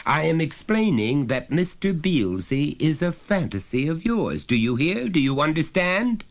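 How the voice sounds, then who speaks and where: A man's voice: a little high, sing-song, unhurried, pitched above the performer's own voice. A man voicing a stern, pompous, overbearing father who lectures insistently in a storybook reading. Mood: stern lecturing.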